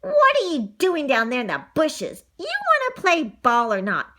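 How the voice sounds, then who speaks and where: A cocky young boy from a children's tale, voiced by a woman, high and bright. He taunts and boasts like the neighborhood bully, his pitch moving around freely. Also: wildly swooping pitch, moderate pace, full character voice. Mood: scornful.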